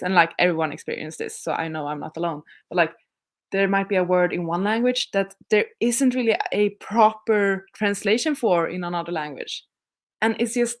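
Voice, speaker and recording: A young Swedish woman, a native Swedish speaker, hosting a casual livestream, chatting in a rambling way with frequent laughs and restarts. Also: medium pitch. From Sweden. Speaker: livestream host reading viewer chat.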